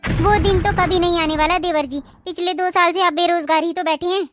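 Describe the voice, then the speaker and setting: Woman acting a very high-pitched, spiteful sister-in-law. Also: fairly even intonation, brisk, music in the background. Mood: scornful. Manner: sarcastic, scolding, threatening. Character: sharp-tongued, spiteful, bossy young sister-in-law, female. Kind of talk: cartoon moral story acting.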